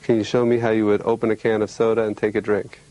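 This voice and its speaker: Man giving measured clinical exam instructions. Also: fairly low pitch, level tone, dark tone, low-quality recording. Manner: measured, polite, clearly worded instructions. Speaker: clinician demonstrating a mental status exam. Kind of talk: instructional exam demonstration, scripted questions and explanation.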